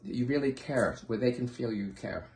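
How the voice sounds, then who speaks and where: A man giving an informal instructional sales pitch, speaking earnestly and emphatically, repeating his points and asking rhetorical questions. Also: normal speed, a little mellow. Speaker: presenter training hair-loss clinic professionals. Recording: echoey, roomy sound.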